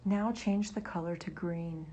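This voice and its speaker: A woman leading a guided meditation in a soft, breathy near-whisper. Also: on the low side, fairly even intonation, a little slow.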